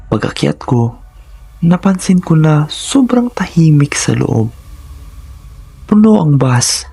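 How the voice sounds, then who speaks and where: A man's voice: medium pitch, vocal fry, dark tone, breathy edge. A man reading a scripted first-person horror story in a dramatic, suspenseful manner.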